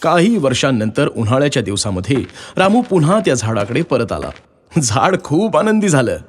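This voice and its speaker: A man's voice: medium pitch, big pitch swings, moderate pace. A man narrating a scripted children's story as a storyteller. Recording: music behind the voice.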